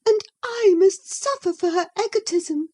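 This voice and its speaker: A woman voicing an infatuated, tearful and indignant young woman in high, wounded outbursts. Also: measured pace, dark tone.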